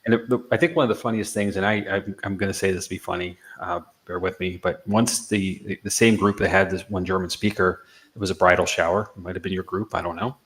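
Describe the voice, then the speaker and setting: A man's voice: low voice, steady tone, fast, a bit bright. A man, a guest paranormal investigator, talking reflectively in a casual podcast conversation, dotting his points with "you know" asides.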